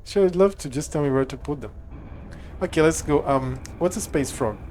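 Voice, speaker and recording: A game streamer with a medium-pitched voice talks casually through a playthrough. The delivery moves between offhand commentary and reading game text aloud. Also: animated, normal speed, background noise.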